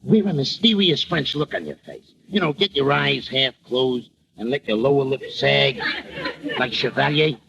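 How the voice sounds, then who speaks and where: Flattering eager sidekick guy, old radio comedy, swingy slangy talk, muffled hissy recording. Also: medium pitch, moderate pace, creaky. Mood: excited, encouraging. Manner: excitable, slangy, coaxing. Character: eager, devoted male sidekick, flattering and coaxing.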